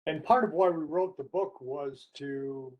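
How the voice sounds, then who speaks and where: An older man speaking as a panelist in a webinar, explaining his work in a measured, earnest way. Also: on the high side, highs cut off.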